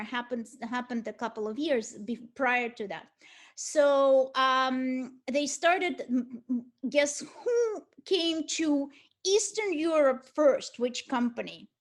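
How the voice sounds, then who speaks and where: A middle-aged woman from Ukraine with a Russian accent, giving a university lecture with frequent hesitations and restarts. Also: fairly high pitch. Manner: hesitant, many restarts and ums. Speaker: art history lecturer.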